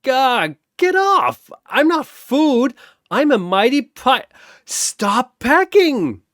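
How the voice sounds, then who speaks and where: A man acts out a hapless young pirate from an adventure story. His voice jumps high, flustered and indignant, with theatrical comic flair in a dry recording. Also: very animated, very slow, a bit bright, no echo, exaggerated voice. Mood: panicked.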